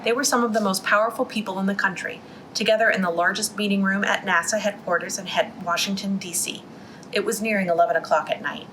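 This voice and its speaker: A young woman reading a novel aloud at a library storytime, in an even reading voice with quick restarts after slips. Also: mid-range voice, slight background noise, rough recording.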